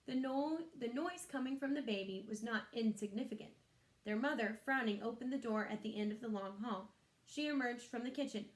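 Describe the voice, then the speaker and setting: A woman's voice: fairly high pitch, fairly quick. A woman reading a children's story aloud expressively, like a teacher, in an echoey room.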